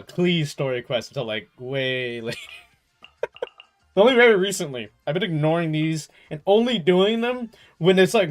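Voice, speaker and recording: Chatty young man streaming, higher-pitched voice. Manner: slangy, playful, reactive. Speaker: game livestreamer. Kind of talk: casual stream chat and game reactions. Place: in a room at home. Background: faint game music.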